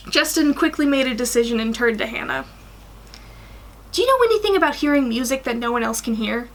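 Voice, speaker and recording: A young woman in her twenties reading fan fiction aloud in an animated way, stumbling over the odd sentence. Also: fairly high pitch. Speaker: guest story reader. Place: small attic bedroom.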